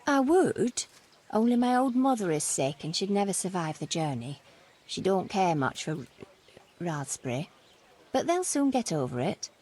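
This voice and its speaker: Medieval peasant mother, video game drama, husky, folksy, pleading, deferential, easily indignant. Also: mid-range voice, big pitch swings, moderate pace, darkish tone. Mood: insistent. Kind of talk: video game cutscene acting.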